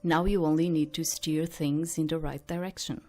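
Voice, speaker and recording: A woman giving casual game commentary and reading aloud, thinking out loud in a hesitant, rambling way. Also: low voice, steady tone, normal speed. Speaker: host of a game playthrough.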